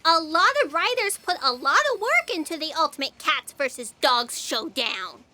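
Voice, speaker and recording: A woman playing a frantic, high-strung female writer in a sci-fi comedy audio drama, whiny and agitated. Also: animated, normal speed, pushed and bright, full character voice. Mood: whiny despair.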